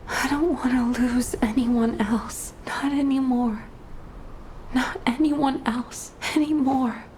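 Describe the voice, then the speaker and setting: Game cutscene role: grieving young woman, breathy and pleading, unhurried pace, faint noise and rumble behind. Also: steady tone, a little mellow. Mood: sorrowful, pleading. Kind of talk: video game cutscene acting.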